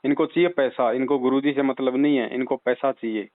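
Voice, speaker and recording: A man's voice: mid-range voice, fairly even intonation, fairly quick. Insistent man on tinny phone line. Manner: insistent, admonishing, leading with rhetorical questions. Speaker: spiritual advisor taking a caller's doubts. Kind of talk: persuasive religious discussion on a phone call. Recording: tinny, band-limited phone call recording.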